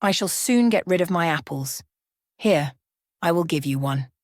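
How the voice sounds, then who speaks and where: A woman's voice: fairly low pitch, expressive, normal speed, dry recording, studio quality, close to an everyday voice. A woman as a sly, wheedling old peddler woman. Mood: sly persuasion.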